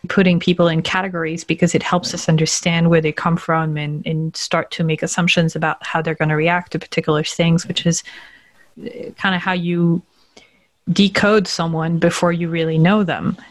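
A woman's voice: on the low side, level tone, moderate pace. French woman, podcast host, light accent that sounds mostly North American, curious. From France. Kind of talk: casual interview chat.

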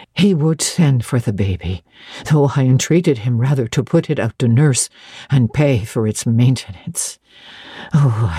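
A narrator puts on an ailing older gentlewoman from a storybook, bitter and full of grievance. She mutters in a querulous, scornful, agitated way. Her voice is dark and husky, recorded dry and close. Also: mid-range voice, no echo, voice pushed down.

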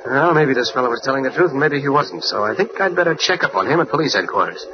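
An actor playing an earnest, persistent newspaper reporter with an American accent and a brisk, polite manner in an old radio drama. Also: male voice, medium pitch, expressive, lightly acted. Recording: muffled, slightly noisy old radio recording.

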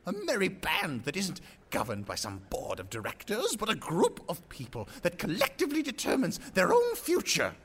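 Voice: medium pitch, wildly swooping pitch, moderate pace, a bit bright, breathy edge, full character voice. An actor playing a grandiose, suspicious rebel leader of a ragtag band in an audio drama, speechifying theatrically. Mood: rousing, grandiose.

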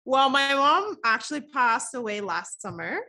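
A woman's voice: sits high, very animated, moderate pace, sharp tone. A woman, chatting as a podcast guest, laughing often and filling her talk with casual fillers. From the Bahamas. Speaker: guest artist.

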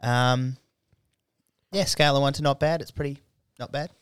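An Australian man in his twenties hosts a loose, boozy podcast, joking crudely and rambling with fillers. His voice is smooth and clear, recorded dry and close. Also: medium pitch, fairly even intonation, normal speed, dry recording. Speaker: podcast host. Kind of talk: casual drunk podcast banter.

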